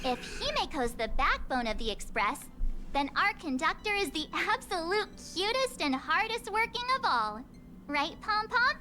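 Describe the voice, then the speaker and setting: Very high, perky girl crewmate. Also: sing-song, normal speed, pushed and bright, exaggerated voice. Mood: playful. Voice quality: girlish. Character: perky young girl crewmate, chatty and loyal. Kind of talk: video game voice acting. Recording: music behind voice.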